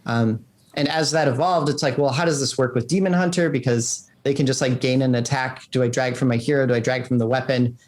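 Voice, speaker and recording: A man talking casually as an interviewed game designer, in an informal, halting way with lots of filler words. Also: medium pitch, fairly even intonation, darkish tone.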